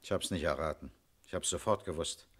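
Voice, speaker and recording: An actor playing a shrewd, commanding police inspector in a German radio crime drama, questioning in a terse, authoritative way. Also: male voice, very deep voice, fairly quick, a bit bright, slight background noise. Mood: calm, knowing.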